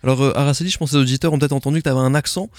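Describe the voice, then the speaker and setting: Fast-talking male radio host. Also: mid-range voice, steady tone, darkish tone, dry recording. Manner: quick, casual and informal. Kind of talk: live radio interview. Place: radio studio.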